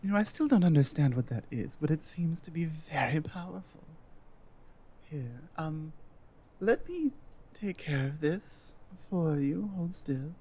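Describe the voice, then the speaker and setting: A woman voicing a strong, smitten female adventuring healer in an intimate fantasy ASMR roleplay, speaking haltingly with breathy, sultry asides. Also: sits low, animated, warm tone, heavily acted. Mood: curious, bemused.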